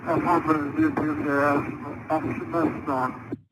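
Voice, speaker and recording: Halting male pilot on noisy cockpit radio. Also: a little high, level tone. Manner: halting, repeats words. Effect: aviation radio.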